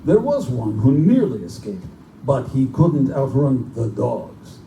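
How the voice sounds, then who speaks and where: A man's voice: mid-range voice, sing-song, slow, vocal fry, warm tone, full character voice. A man playing a genteel, pompous general who hunts men for sport, in a live theatrical horror drama. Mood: smug menace. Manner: urbane, pompous, condescending. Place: live performance in a bookstore. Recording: distant, echoey live room recording with noise.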